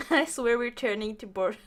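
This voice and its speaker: A woman's voice: on the high side, lively intonation, takes it slowly. A woman hosting a casual livestream chat in a giggly, jokey way. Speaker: podcast host and streamer.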